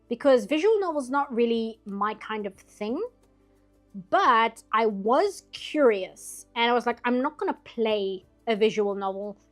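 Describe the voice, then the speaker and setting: A chatty woman from Britain talks while she draws, wandering from topic to topic and laughing often. Her voice sits a little high and is turning slightly hoarse late at night. Faint music plays quietly behind her. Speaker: streamer drawing on stream. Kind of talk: casual chat while drawing.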